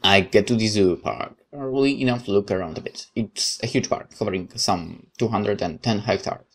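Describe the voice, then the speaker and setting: A man's voice: on the low side, normal speed. A man reading a visual novel aloud as narration, dropping in casual asides of his own.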